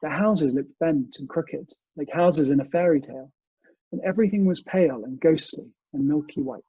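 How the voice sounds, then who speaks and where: A man, a guest reader who chats casually and reads storybooks aloud in a measured, expressive way over a video call. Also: a little high, breathy edge. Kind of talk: storybook reading and casual chat. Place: indoors, remote online session. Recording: muffled, echoey video call audio.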